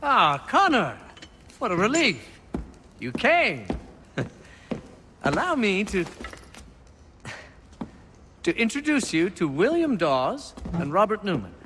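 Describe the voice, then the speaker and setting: An excitable game patriot of colonial times. His voice is high and animated, formal yet urgent, in a reverberant space with noise behind it. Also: takes it slowly, long pauses, exaggerated voice. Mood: relieved, earnest. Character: fervent, excitable colonial patriot rallying allies. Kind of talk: video game character acting.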